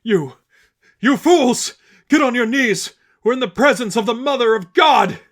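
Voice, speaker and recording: A man's voice: very high voice, animated, measured pace, a bit bright, pitched above the performer's own voice, heavily acted. Casting: male reader as fanatical male follower in a dramatic story, fearful, reverent, prone to stammering. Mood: awed frenzy. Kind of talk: dramatic audiobook-style fan fiction reading.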